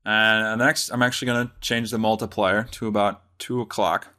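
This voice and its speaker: A man presenting a casual software tutorial, explaining step by step in an informal way. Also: lowish voice, steady tone, moderate pace, slight background noise.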